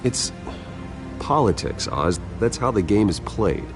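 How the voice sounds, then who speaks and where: A male actor playing a composed, wealthy, guarded businessman in a video game, speaking in a measured, restrained way. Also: fairly low pitch, very animated, music in the background. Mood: cool, resigned. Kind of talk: dramatic video game acting.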